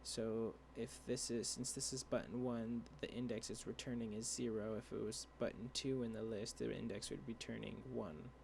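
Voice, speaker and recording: A young man explaining a coding tutorial over a screen share, rambling and halting with many fillers and restarts, his voice dry. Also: lowish voice, steady tone, gravelly, darkish tone, a little hiss or hum. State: dry voice, clearing throat. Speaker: tutorial creator.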